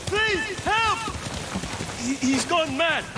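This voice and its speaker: An actor playing a terrified peasant in a video game, pleading for help in a panicked voice. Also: male voice, very high-pitched, animated, very slow, heavily acted. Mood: panicked, shaken. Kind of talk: dramatic video game cutscene acting. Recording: noisy outdoor ambience behind the voice.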